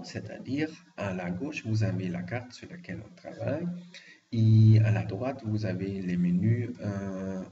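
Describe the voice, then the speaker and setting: Man, German accent, hesitant fillers, lowish mellow voice, muffled lo-fi recording. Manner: hesitant, frequent "euh" fillers, careful explaining. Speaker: software tutorial presenter. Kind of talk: screen-recorded software walkthrough. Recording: muffled lo-fi voice-over with faint hiss.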